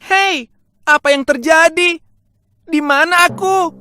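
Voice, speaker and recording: A woman's voice: very high voice, slow, sharp tone, pitched above the performer's own voice, heavily acted. A woman voicing a naive, eager young wooden puppet boy in a children's cartoon story. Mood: confused.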